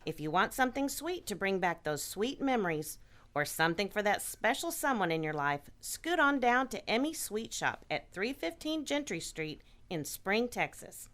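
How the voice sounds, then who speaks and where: A woman's voice: mid-range voice, big pitch swings, normal speed, fairly bright tone. A woman performing a scripted radio ad, cheerful and persuasive.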